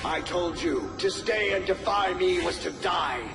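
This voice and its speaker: A man's voice: high voice, unhurried, creaky. A man playing a merciless, arrogant robot tyrant in a game, threatening and imperious. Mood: menacing. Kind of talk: scripted video game villain acting. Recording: echoey hall-like reverb, loud music.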